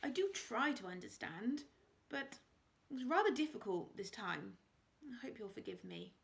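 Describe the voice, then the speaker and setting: A plump, flustered aristocratic father who keeps stammering while clinging to his dignity, put on by a woman reader. The voice sits a little high and comes out loud and pushed. It belongs to a storybook read aloud. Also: wildly swooping pitch, moderate pace, creaky, heavily acted. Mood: sheepish. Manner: stammering, trying to sound dignified.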